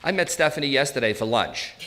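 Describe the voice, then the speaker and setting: A man's voice: mid-range voice, expressive, fast talker, pushed and bright, slight background noise. A man in his sixties with a New England accent, giving an informal live talk and bantering jokingly with his audience. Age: 60s. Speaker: presenter, elder law attorney.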